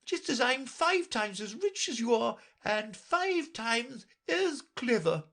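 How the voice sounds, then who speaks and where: A reader voicing a bossy, imperious queen in a storybook audiobook, with a shrill, commanding manner and drawn-out emphasis. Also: big pitch swings, a bit bright, heavily acted. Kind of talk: audiobook character voice.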